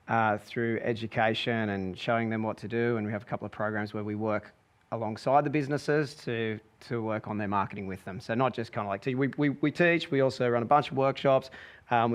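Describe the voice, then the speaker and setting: A man's voice: mid-range voice, smooth. A man with an Australian accent talks in a mid-range voice as an interview guest. His delivery is casual and laid-back, with a joking streak. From Sydney, Australia. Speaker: interview guest, marketing entrepreneur. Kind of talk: casual chat.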